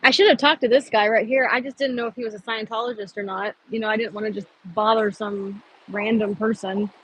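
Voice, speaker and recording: Woman streaming on her phone outside, giggly and rambly, normal pitch, a bit of street noise. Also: steady tone, fairly quick, fairly bright tone, poor audio. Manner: chatty, giggly, lots of fillers. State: a little thirsty. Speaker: livestreamer. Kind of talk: casual live-stream chat. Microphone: phone on a gimbal.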